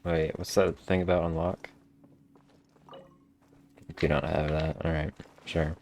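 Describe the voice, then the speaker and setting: Male gamer commentator: low register, casual and dry, thinking aloud in muttered asides. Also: level tone, slow pace, vocal fry, a little mellow, a little hiss or hum.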